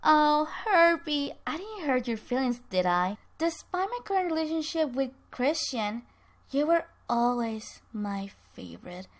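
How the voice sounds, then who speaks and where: A storyteller woman plays a scornful former girlfriend in a spoken drama. She is sarcastic and theatrical, pitched fairly high, and leans slowly on her words to needle the man she once loved. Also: big pitch swings. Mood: taunting, coy. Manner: sarcastic, theatrical, drawn-out emphasis. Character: bitter, taunting woman confronting her former lover.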